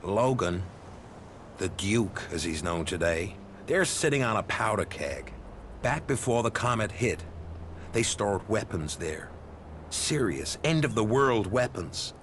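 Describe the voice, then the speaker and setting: An actor playing a worldly, wary desert historian in a video game, explaining in a measured way. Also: male voice, fairly low pitch, lively intonation, a bit bright, a little hiss or hum. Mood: storytelling, intrigued. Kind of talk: expository game dialogue.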